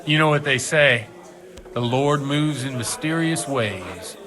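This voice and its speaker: An actor playing a worldly, kindly but principled middle-aged village priest in a video game, speaking in a measured, fatherly way. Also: mid-range voice, expressive, a crowd in the background. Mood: earnest, reassuring. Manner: measured, fatherly, gently philosophical. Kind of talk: video game cutscene acting.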